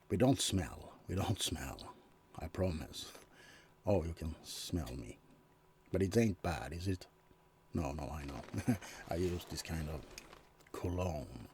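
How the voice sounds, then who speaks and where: Guy doing a chill old vampire, slow deep soft voice, rambles in slang, asmr roleplay, bit of background noise. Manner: rambling, slangy, hesitant, chuckling asides. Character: friendly laid-back male vampire, centuries old, chatty roommate.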